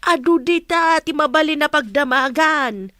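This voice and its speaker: A woman's voice: high-pitched, measured pace, sharp tone, no echo, studio quality. A woman acting as a nosy, meddling mother in an audio drama, prying insistently.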